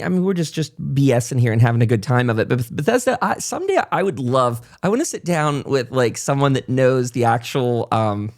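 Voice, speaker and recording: A man with an American accent hosts a podcast in a voice of average pitch. He talks casually and conversationally, in long rambling sentences. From United States. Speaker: talk show podcast host. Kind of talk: casual interview chat.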